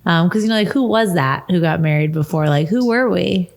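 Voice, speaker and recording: A woman's voice: fairly low pitch, expressive, a little fast, creaky, darkish tone. A woman hosting a casual podcast conversation, chatty and upbeat, laughing often. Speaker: podcast host.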